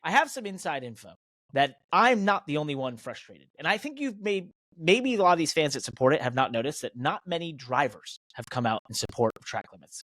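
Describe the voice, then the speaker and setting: A man's voice: medium pitch, fairly bright tone, no echo. American man, emphatic podcast co-host, close dry sound. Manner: emphatic, opinionated. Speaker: podcast co-host and professional racing driver. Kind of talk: casual opinionated motorsport podcast chat.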